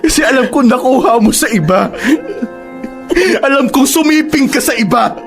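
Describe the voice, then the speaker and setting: A very high, anguished voice of a betrayed young man, breaking into sobs and screams. This is radio drama acting with faint music underneath. The hurt comes through in every line. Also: expressive, moderate pace. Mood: bitter, grieving. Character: Nico, heartbroken young man betrayed by partner.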